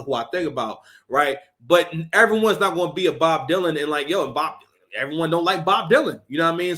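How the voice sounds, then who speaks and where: A man's voice: on the high side, a bit bright. A man hosting a reaction show, ranting in heated, profane commentary with many restarts.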